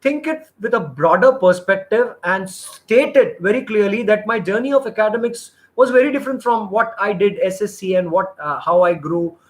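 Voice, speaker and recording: A man's voice: sits high, normal speed, hardly any pauses. A middle-aged man, coaching job seekers in a live session, earnest and rambling, with many restarts and fillers. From India. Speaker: interview trainer.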